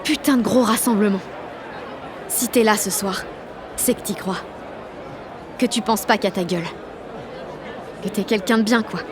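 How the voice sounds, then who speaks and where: A woman's voice: mid-range voice, measured pace, long pauses, crowd noise, noisy background, natural voice. A woman playing a cocky, exalted sixteen-year-old girl activist, narrating an audio drama in brash, confiding slang. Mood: elated. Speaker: lead actor and narrator.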